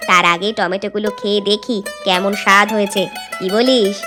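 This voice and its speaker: A woman puts on a motherly older mistress of a village house in a cartoon tale. She sounds familiar and coaxing, in a middling female pitch with music behind. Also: fairly even intonation, fairly quick, close to an everyday voice. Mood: kindly, coaxing. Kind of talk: Bengali cartoon fairy-tale dialogue.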